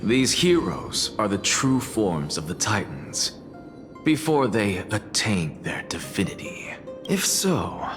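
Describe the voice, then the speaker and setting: A measured, analytical scholar in a fantasy game, with lively pitch movement as he reasons aloud. Game music plays behind. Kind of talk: game voice acting.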